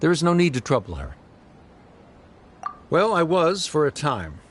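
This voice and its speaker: A man's voice: fairly high pitch, very animated, a bit bright, a little hiss or hum. A man voicing a proud, middle-aged scholar father in a video game, speaking formally and with reserve. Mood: reserved, explanatory. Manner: formal, measured diction without contractions. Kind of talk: anime-style video game voice acting.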